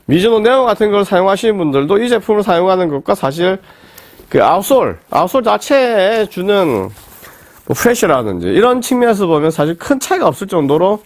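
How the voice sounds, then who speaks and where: A hoarse man in his forties, fighting off a cold, talks through a football boot review in a lively, up-and-down voice. He wanders with plenty of fillers and restarts. His voice sits a touch high and sounds dry and close in a small room. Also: a little fast, no room sound. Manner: rambling, with many fillers and restarts. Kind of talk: casual product review talk. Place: small indoor shop room with shelves of boots.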